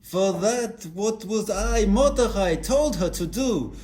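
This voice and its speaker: Guy doing earnest old-story sage for kids puppet show, insistent, slow, high-ish, bit of music. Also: mellow, a little hiss or hum. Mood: matter-of-fact. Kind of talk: rhyming children's puppet show. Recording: music behind the voice.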